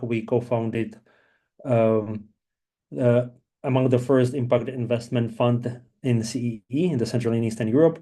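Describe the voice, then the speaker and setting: Middle-aged man, conversational, flat intonation, dark warm tone, video call. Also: mid-range voice. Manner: conversational, frequent "you know" and "um". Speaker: impact investor, panelist. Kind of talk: webinar panel remarks.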